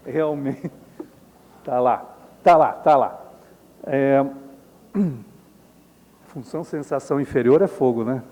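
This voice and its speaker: A middle-aged Brazilian man lectures at an unhurried, drawn-out pace, his voice mellow and dark. He talks casually to the audience, tossing in tag questions and small chuckles. The sound carries the long reverb of a lecture hall. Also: mid-range voice. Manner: conversational, frequent tag questions, chuckles often. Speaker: Jungian analyst lecturing at a symposium. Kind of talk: informal symposium lecture with slides.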